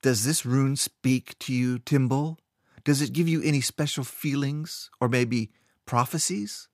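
A man voicing a sinister, scheming male rune master who speaks smoothly but with a cold, threatening edge. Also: medium pitch, measured pace, a little mellow, dry recording, studio quality, pitched above the performer's own voice, close to an everyday voice. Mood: menacing calm.

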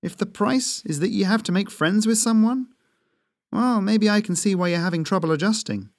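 A man's voice: high voice, moderate pace, a little mellow, no echo, clean studio sound, pitched above the performer's own voice. A man voicing a wry, teasing woman hunter with a blunt, mocking manner.

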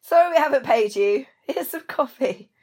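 A woman's voice: sits high, lively intonation, normal speed, darkish tone, slightly rough. A woman hosting a podcast in casual chat, sounding a bit nasal from a cold. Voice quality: slightly nasal. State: has a cold. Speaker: podcast host.

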